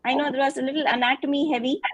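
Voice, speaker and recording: A woman's voice: sits high, steady tone, very fast, muffled recording. A woman giving an online phonetics lecture, patiently explaining and checking in with frequent questions. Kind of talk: online lecture over video call.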